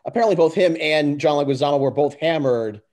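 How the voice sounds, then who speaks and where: A man's voice: on the high side, fast, darkish tone. A man chatting casually and reading a script as an amateur, circling back over his words hesitantly.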